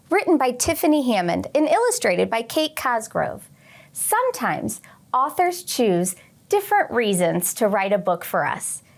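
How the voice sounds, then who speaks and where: A woman teacher reading a picture book aloud to young children expressively, pausing for short teaching asides. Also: fairly high pitch. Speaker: teacher and read-aloud host.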